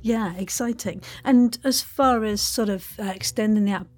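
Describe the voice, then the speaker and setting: Effusive woman hosting, mid-pitched. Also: normal speed, darkish tone, no echo. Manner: effusive, encouraging, interjecting approval. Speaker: podcast interviewer, host. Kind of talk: casual interview chat.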